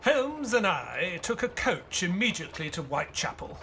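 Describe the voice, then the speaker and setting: Earnest Victorian gentleman companion, fairly high voice, very lively intonation, formal audio drama delivery. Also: faint music behind, heavily acted. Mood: grave narrating. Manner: formal, measured storytelling. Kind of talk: audio drama acting and scripted narration.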